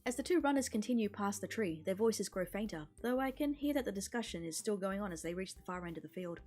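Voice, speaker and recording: A woman's voice: medium pitch, lively intonation, fast, darkish tone. A woman streamer casually narrating a visual novel's script aloud. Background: music.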